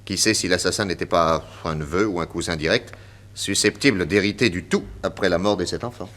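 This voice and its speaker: An actor playing a confident, witty detective inspector with a French accent, emphatic and theatrical in 1960s radio drama style. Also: male voice, sits low, brisk, a bit bright. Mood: pondering. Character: confident, witty male detective inspector explaining his deductions.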